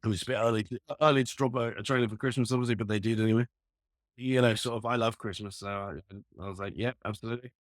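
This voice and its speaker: A man's voice: medium pitch, steady tone, quick pace, dry recording, studio quality. A man from Ireland, raised in London, talking as an interview guest about his acting work, easygoing and often restarting his sentences. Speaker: actor and writer guest. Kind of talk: casual interview chat.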